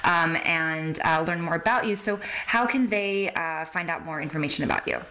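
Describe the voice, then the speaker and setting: Woman interviewer for a podcast, slightly low voice, informal and chatty conversational delivery. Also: normal speed, sharp tone, low-quality recording. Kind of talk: casual interview chat.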